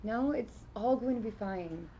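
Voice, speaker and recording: A woman's voice: medium pitch, measured pace, dark tone, slight background noise, close to an everyday voice. A woman playing a wounded, insistent long-term girlfriend in a naturalistic film drama, pressing and emotional in her questioning. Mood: hopeful.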